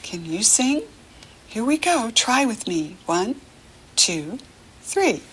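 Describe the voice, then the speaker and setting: An American woman teaching young children through a picture book, speaking to the child directly in simple, encouraging phrases with pauses. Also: fairly high pitch, sing-song, fairly bright tone, slight background noise, rough recording.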